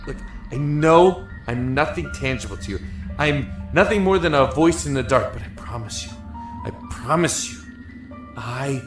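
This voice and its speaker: An actor playing an earnest, anguished young man locked in a dark cell, speaking haltingly and emotionally in an audio drama. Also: a little high, roomy sound. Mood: pleading, insistent. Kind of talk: audio drama acting and first-person narration. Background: music.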